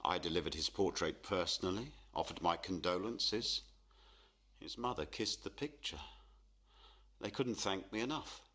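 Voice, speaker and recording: A man's voice: sits low, moderate pace, bright, forceful tone, breathy edge, exaggerated voice. An actor playing a gloomy, superstitious and proud middle-aged portrait painter and father in a radio play, brooding and theatrical. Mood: brooding, confessional. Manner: theatrical, brooding, self-pitying.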